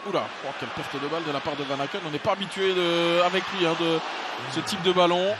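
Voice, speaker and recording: Man, high-pitched voice, football play-by-play, loud noise behind. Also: fast talker. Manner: rises to shouting at goals, calm analysis between. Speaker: play-by-play commentator. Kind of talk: live football commentary.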